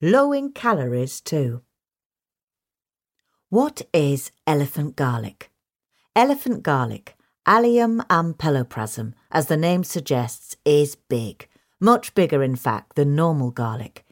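A woman's voice: low voice, sing-song, slow pace. A woman reading a gardening article aloud as scripted narration. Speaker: article reader.

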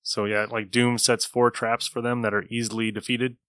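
A man's voice: medium pitch, flat delivery, moderate pace, fairly bright tone, smooth, no echo, studio quality. A man hosting a podcast, chatting casually as he reviews an episode. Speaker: podcast host.

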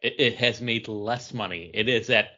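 A man's voice: lowish voice, moderate pace, sharp tone. A man hosting a podcast trivia quiz in a casual, bantering style. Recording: dull lo-fi podcast audio.

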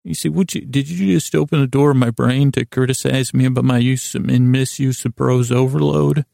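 A man hosting a bedtime podcast in a meandering, halting monologue that keeps interrupting itself, lighthearted and self-deprecating, with a gravelly voice. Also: mid-range voice, steady tone, warm tone, no echo, clean studio sound. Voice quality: gravelly, creaky. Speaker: podcast host.

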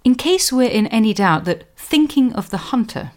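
Scholarly woman narrating a history explainer. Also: a little high. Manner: scholarly, measured, articulate, expressive when quoting. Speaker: history podcast host and professor. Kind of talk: scripted explainer narration with read-aloud quotations.

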